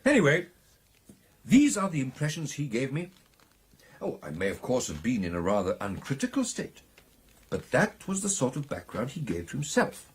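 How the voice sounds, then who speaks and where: An actor playing an excitable British gentleman recounting a ghostly encounter in a radio drama, with theatrical, animated delivery. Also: mid-range voice, moderate pace, slight background noise. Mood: animated, musing. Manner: theatrical, animated storytelling with exclamations and hesitations.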